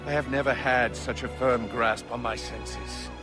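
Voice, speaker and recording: A man's voice: mid-range voice, fairly even intonation, measured pace, music in the background, natural voice. An actor playing a tyrannical, megalomaniac king in a video game, declaiming with pompous menace and contempt. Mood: imperious scorn. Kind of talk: dramatic video game acting.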